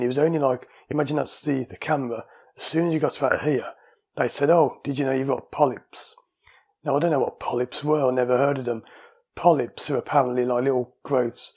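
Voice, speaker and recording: A man from the UK with a slightly husky voice chats to camera at a middle pitch. He rambles and corrects himself mid-sentence, like a relaxed home vlog. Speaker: vlogger. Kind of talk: casual talking-to-camera vlog.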